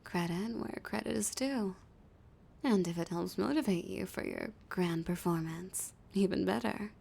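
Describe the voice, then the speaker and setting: A woman voicing a flirty, dominant female villain in an intimate audio roleplay, teasing and often dropping into a breathy whisper. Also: fairly low pitch, huge pitch swings, measured pace, a little mellow, heavily acted. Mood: flirty, pleased.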